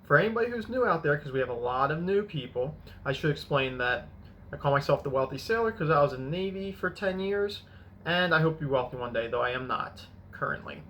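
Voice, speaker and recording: A man in his mid-thirties talks through his past in an easy, meandering vlog style, stopping now and then to correct himself. His voice is bright and forward, with a faint hum of room ambience behind him. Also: fairly high pitch, expressive, a little fast, poor audio. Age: 30s. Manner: rambling, self-correcting storytelling. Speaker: finance vlogger. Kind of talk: casual personal vlog.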